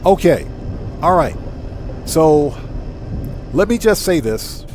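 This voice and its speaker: A man's voice: fairly high pitch, very animated, takes it slowly, darkish tone, slight background noise. A man doing casual live game commentary, talking informally to his viewers and repeating phrases for emphasis. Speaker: live streamer and channel host. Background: music.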